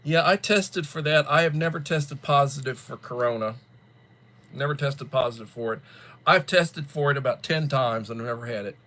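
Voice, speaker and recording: A man hosting a casual livestream, chatting to viewers while still stuffed up and coughing from the flu, in a rambling, drawn-out way. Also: mid-range voice, bright, forceful tone. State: congested, coughing after flu. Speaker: livestream host. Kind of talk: casual chat greeting viewers.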